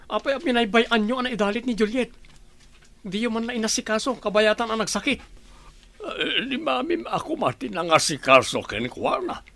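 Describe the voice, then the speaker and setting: A man's voice: very high-pitched, big pitch swings, normal speed, gravelly, fairly bright tone, full character voice. A man acting in an Ilocano radio drama as a worried, indignant husband, earnest and reproachful, with an Ilocano Filipino accent. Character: worried, indignant husband scolding his parents.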